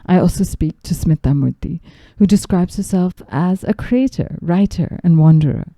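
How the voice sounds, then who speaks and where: Low gravelly woman host from zambia reading a script, super dark voice, dead dry sound. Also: moderate pace, no room sound. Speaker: podcast host. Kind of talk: scripted narration.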